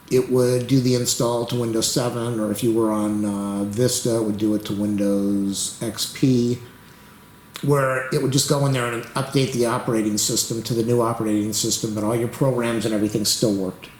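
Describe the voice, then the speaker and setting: A man's voice: medium pitch, a little mellow, a little hiss or hum. Man talking to camera while he waits, voice wearing out; roomy echo. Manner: rambling, talks to the camera while waiting. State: losing his voice, clearing his throat. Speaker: YouTube tech tutorial host. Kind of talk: rambling casual screen-recording commentary.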